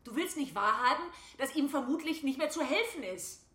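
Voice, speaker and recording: A distraught mother in a radio drama. She argues in a high, agitated voice, pleading and torn. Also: expressive, brisk, a crowd in the background. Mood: desperate, arguing. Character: distraught mother torn over her comatose son. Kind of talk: radio play acting.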